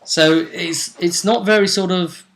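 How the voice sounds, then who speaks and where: Male game master, bright edge to the voice, chatty and rambling tabletop recap. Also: sits high, steady tone, unhurried. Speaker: game master and show host.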